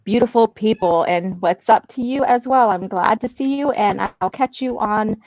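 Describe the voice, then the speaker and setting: A woman's voice: medium pitch, normal speed, darkish tone, no room sound, highs cut off. A woman hosting a livestream, chatting informally and reading out viewer comments. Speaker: livestream panel host.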